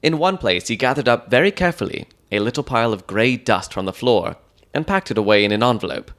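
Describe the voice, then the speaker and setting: A man's voice: medium pitch, animated, quick pace, fairly bright tone. A man reading scripted first-person narration for a dramatized detective story.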